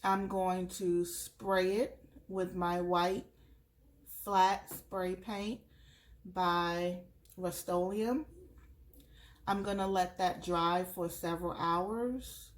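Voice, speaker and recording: A woman talking through a craft tutorial at home while she works, a bit sniffly from allergies. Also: on the low side. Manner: slow, relaxed, talks while working. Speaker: crafter showing a tumbler project.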